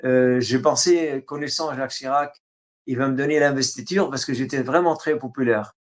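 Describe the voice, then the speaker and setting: Arabic-accented man recounting his past. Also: medium pitch. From Syria. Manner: halting, frequent fillers and restarts. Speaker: interview guest, writer. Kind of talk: autobiographical interview.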